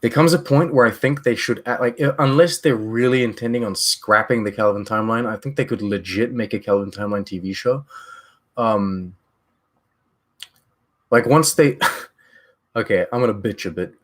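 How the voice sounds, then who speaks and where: A young man chatting casually on a live stream from a small room, rambling loosely with animated gestures, through a headset mic. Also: on the low side, moderate pace. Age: young adult.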